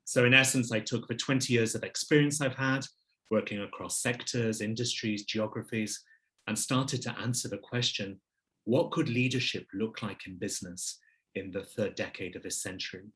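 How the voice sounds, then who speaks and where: Low-voiced middle-aged man telling his story. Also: normal speed, fairly bright tone. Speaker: podcast guest, business leader. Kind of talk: polished interview storytelling.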